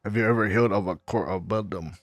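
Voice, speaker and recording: A man playing through a video game with low-key commentary, haltingly reading dialogue choices aloud. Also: no echo. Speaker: gamer recording a playthrough.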